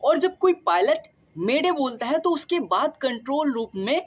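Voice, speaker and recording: A woman's voice: sits high, very animated, moderate pace. A woman with an Indian accent hosting a scripted explainer, speaking straight to listeners. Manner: addresses listeners directly with rhetorical questions. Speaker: video host.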